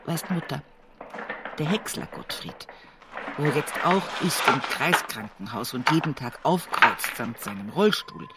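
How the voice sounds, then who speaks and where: A slow, low-voiced Bavarian country daughter. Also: female voice, lively intonation, heavy background noise. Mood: wistful musing. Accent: Bavarian, Upper Palatinate. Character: earthy rural daughter reminiscing at her mother's sickbed. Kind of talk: radio play monologue.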